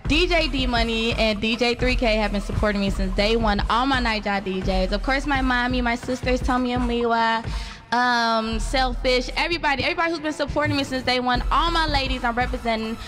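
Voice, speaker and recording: A bubbly, giggly young woman in her twenties, the guest on a radio show, her voice a little high. She gushes through shout-outs at a studio microphone. Music plays behind her. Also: a little fast. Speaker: guest singer. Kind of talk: radio interview. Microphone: studio boom mic.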